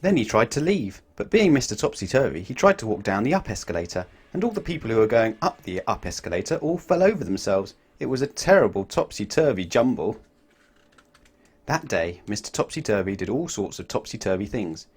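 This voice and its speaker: A man reading a children's storybook aloud in an expressive way, putting questions to his young listeners. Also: lowish voice, fairly quick, husky. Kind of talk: animated children's storybook narration.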